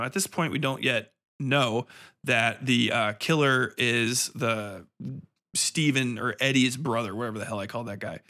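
A man's voice: mid-range voice, pushed and bright. A man hosting a podcast, giving a casual, opinionated film review in a profane, incredulous manner.

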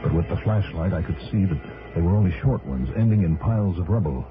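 A man's voice: deep voice, lively intonation, quick pace, over music. An actor playing a bold private investigator who tells his own adventure in 1960s radio drama style. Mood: tense, wry storytelling. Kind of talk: 1960s radio drama acting, first-person narration. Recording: dull lo-fi old radio recording.

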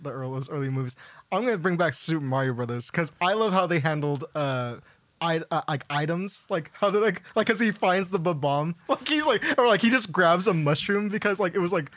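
A man's voice: a little high, animated, a little fast, a bit bright, no room sound. A man co-hosting a podcast, chatting casually about films and games. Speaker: podcast co-host.

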